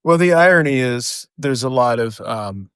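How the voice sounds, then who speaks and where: A man with a middle-pitched voice co-hosting an improvised comedy podcast. He throws in blunt, absurd questions in an offhand manner. The recording is dry and close. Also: animated, moderate pace, a bit bright, clean tone, no echo. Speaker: podcast co-host.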